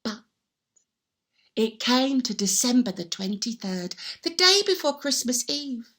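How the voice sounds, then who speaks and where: Female children's storyteller, high and slow in delivery, theatrical and animated. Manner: dramatic, with repeated sound words and hushed asides.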